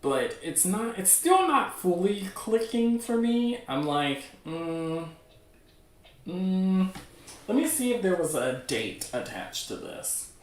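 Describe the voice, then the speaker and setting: Higher-voiced man in an echoey room. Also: big pitch swings, slow pace. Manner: rambling, thinking aloud. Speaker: host of a webcomic news show. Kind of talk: casual unscripted commentary. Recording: roomy, echoing sound.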